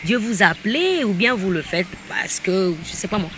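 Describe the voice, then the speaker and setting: A woman's voice: mid-range voice, sing-song, normal speed. A woman from DR Congo hosting a talk show, chatting casually with a guest and teasing him now and then in Lingala phrases. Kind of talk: casual interview chat. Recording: loud background noise.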